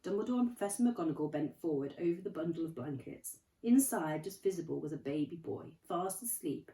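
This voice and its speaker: Woman teacher, expressive read-aloud, warm lowish tone; distant, echoey recording. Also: a little fast. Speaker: primary school teacher. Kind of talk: reading a storybook aloud. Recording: roomy, from off the mic.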